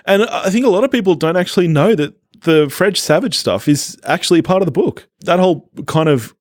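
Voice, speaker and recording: A man's voice: sits high, expressive, fairly quick, no room sound, clean studio sound. A man co-hosting a casual film podcast, chatting in a giggly, enthusiastic way.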